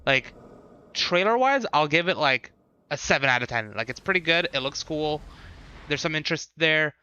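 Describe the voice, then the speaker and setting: Bright-voiced male streamer, musing casually. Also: fairly high pitch, animated, normal speed, smooth, lo-fi sound. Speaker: live streamer. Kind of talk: casual livestream commentary and reaction. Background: game showcase trailer audio and music.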